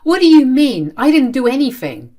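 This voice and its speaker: A woman's voice: on the low side, expressive, moderate pace, fairly bright tone. A wronged teenage daughter in a family drama story, acted by a woman narrator. She is exasperated and indignant, defending herself and pleading to be treated fairly. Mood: distressed, pleading.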